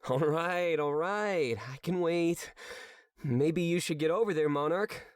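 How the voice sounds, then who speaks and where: A man's voice: a little high, measured pace, clear, resonant tone, no echo, clean studio sound, natural voice. A man voicing an easygoing, friendly furry crewmate in a visual novel, casual and light in manner.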